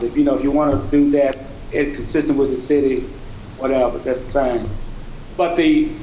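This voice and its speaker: Halting high-voiced man in echoey room. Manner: halting, repeats and rephrases. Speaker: utility board member. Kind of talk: extemporaneous remarks at a board meeting. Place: indoor meeting room. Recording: echoey meeting room with background noise.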